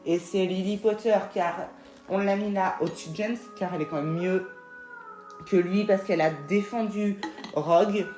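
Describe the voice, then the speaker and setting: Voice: medium pitch, fairly even intonation, moderate pace, a little mellow, reverberant, faint music behind. A speaker co-hosting a casual ranking video, reading out the entries in a matter-of-fact way. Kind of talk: casual scripted chat.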